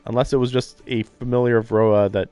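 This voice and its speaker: A man's voice: medium pitch, level tone, mellow, clear, resonant tone, lo-fi sound. Man musing aloud over background music. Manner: musing, thinking aloud in halting fragments. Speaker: live streamer. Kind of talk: casual live commentary on a visual novel playthrough.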